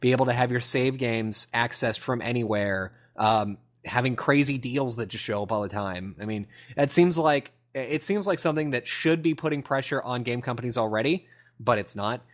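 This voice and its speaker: A man's voice: mid-range voice, normal speed. Casual rambling man hosting a podcast. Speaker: podcast host. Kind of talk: casual gaming podcast chat.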